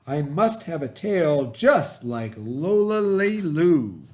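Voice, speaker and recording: A man voicing a jealous young girl bird from a storybook, pleading and whiny with drawn-out words. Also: a little high, sing-song, a little mellow. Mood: indignant.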